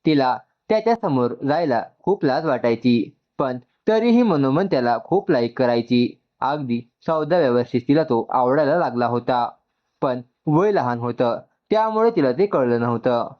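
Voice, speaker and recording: A man's voice: medium pitch, animated, moderate pace. Guy w narrating a heartfelt story, mid voice, kinda lo-fi sound. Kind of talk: scripted narration of an emotional story.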